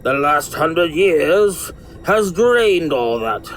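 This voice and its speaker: Haughty otherworldly ruler, theatrical, pompous, slow, very animated intonation, audio drama, noisy background. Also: mid-range voice. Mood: imperious, approving.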